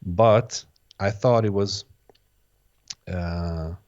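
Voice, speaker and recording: Guest: native Bosnian-speaking man, lowish voice, halting and reflective, on a dull lo-fi recording. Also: darkish tone. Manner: hesitant, restarts phrases, many "sort of" hedges. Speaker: guest, electronic music producer. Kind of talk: casual interview answers.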